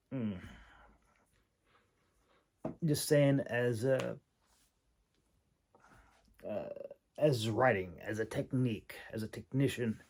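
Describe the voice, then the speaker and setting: A man giving an informal, unscripted critique in a halting, hesitant way, with many pauses and repeated words. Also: mid-range voice, a little mellow. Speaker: audiobook narrator and manuscript critic.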